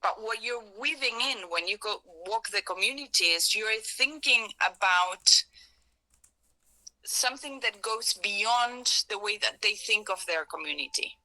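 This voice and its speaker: A woman with an Argentine Spanish accent leads a hands-on workshop in English, her second language. Her voice is very bright and sits at a middle pitch. She pauses to hunt for the right word and often circles back to rephrase. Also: rough recording. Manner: searching for words, restarts phrases, hedges with "sort of". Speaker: workshop facilitator. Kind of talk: live workshop talk to hackathon participants.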